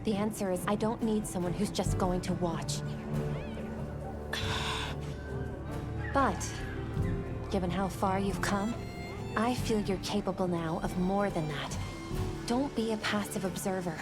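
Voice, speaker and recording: Casting: actress as composed female mentor for a game, medium pitch, firm and direct, heavy music underneath. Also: moderate pace, natural voice. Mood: firm, encouraging. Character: firm, caring adult woman mentoring a young hunter. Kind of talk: dramatic video game cutscene acting. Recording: loud music behind the voice.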